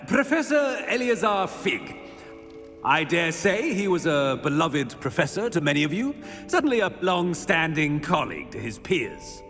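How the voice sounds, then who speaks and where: A pompous, bumbling headmaster. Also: male voice, fairly high pitch, very animated, a little slow, a bit bright. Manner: pompous, fumbling, throat-clearing. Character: pompous aging male headmaster, awkward and dismissive. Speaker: headmaster giving a eulogy. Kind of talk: video game cutscene acting. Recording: echoey hall reverb, faint music score.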